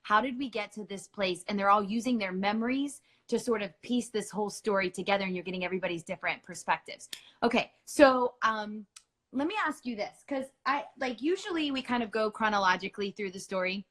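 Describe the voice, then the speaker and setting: A woman's voice: medium pitch, fairly quick, a bit bright. A middle-aged woman hosting a book club, talking in a chatty, playful way with lots of fillers. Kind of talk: casual chat.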